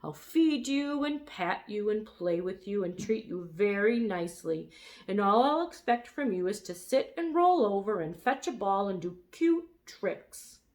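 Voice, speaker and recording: A woman voicing a huge, polite male brontosaurus with a rumbling voice in a storybook reading. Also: a little slow, lightly acted. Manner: polite and calm.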